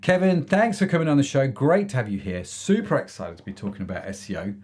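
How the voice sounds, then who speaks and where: A man's voice: medium pitch, very animated, fast, a little mellow, husky. Upbeat man hosting a podcast. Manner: upbeat, enthusiastic, laughs readily. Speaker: podcast host. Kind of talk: scripted intro then casual interview chat.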